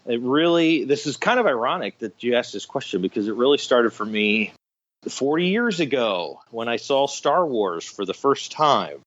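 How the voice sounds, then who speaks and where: A man's voice: medium pitch, expressive, normal speed, a bit bright. Joking American man, dull call audio. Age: 50s. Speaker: podcast guest. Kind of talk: casual interview storytelling. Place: remote online call.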